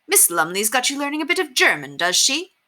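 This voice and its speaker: A woman's voice: sits high, big pitch swings, brisk, sharp tone, voice pushed up, full character voice. A woman voicing a friendly, sheepish young man with breezy, old-fashioned phrasing in a storybook reading. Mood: friendly, apologetic.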